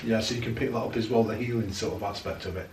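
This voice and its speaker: A man's voice: lowish voice, fairly even intonation, fast. A man in his thirties hosts a casual sit-down interview, prompting his guest with eager, leading questions. He sits back from the camera in a living room, so his voice carries a roomy echo with a faint hiss underneath. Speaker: interviewer, paranormal channel host. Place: living room, indoors, on a sofa. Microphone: far camera. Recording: echoey room from a distance.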